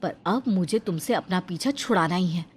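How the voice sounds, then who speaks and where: A woman voicing an exasperated, scornful urban elder sister-in-law who scolds and bosses in an animated family story. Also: on the low side, moderate pace, husky, voice pushed down. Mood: fed up. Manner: scolding, bossy, emphatic.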